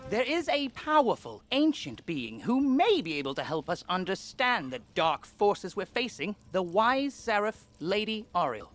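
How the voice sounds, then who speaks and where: A woman voicing an eager, worried young guide in a fantasy video game, expressive and earnest. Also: mid-range voice, a little slow, hardly any pauses, faint music behind. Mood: earnest, explaining. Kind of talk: video game character acting.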